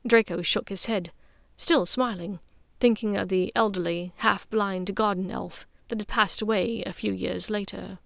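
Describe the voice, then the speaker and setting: A woman's voice: medium pitch, steady tone, a little slow, creaky, hardly any pauses, a bit bright, no room sound, clean studio sound. A woman reading scripted fiction as an audiobook narrator.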